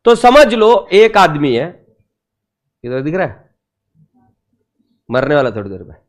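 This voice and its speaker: A man's voice: on the high side, measured pace. A man lecturing an accounting class, mixing Hindi and English and constantly firing rhetorical questions at his students. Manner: lively teacher who keeps quizzing students with rhetorical questions; teasing and playful with students.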